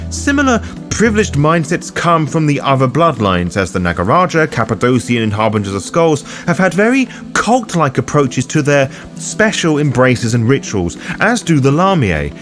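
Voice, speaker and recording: A man delivering scripted explainer narration as a guide host, in an opinionated, wordy and theatrical way. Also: medium pitch. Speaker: host of a tabletop roleplay guide.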